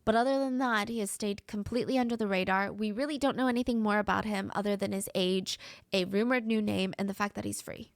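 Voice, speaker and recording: Stuffy-nosed woman with a gravelly voice. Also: mid-range voice, fairly even intonation, quick pace, no room sound, clean studio sound. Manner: conversational, asks the audience questions. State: stuffy and nasal from a cold. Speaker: true crime host. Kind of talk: true crime storytelling to viewers.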